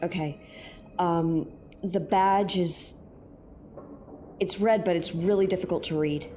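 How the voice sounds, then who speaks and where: A woman acts out a nervous young explorer in a sci-fi audio drama. Her voice sits fairly low, with faint noise behind it. She is hesitant and anxious, with a streak of sarcasm. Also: fairly even intonation, takes it slowly, dark tone. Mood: uneasy.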